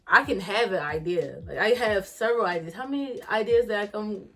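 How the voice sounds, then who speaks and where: A woman in her late twenties from Philadelphia with a Philly accent, hosting a casual chat in a blunt, profane, animated way and laughing often. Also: medium pitch, a little fast, soft background music. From Philadelphia, USA. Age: 20s. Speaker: podcast host.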